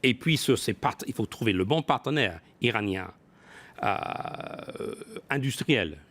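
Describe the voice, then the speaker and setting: A man's voice: lowish voice, lively intonation, measured pace, a bit bright. Hesitant male business expert in an interview. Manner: hesitant delivery, often repeating and rephrasing words. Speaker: business expert guest, former company executive. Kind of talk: live broadcast interview.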